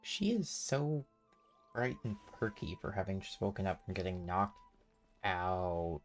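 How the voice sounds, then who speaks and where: A woman's voice: very deep voice, a little slow. A woman game streamer making casual, wry, chatty asides over gameplay. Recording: game music behind.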